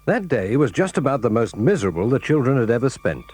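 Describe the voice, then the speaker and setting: A man narrating a children's story in a measured, scripted storytelling manner. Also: medium pitch, sing-song, a little mellow, slightly rough.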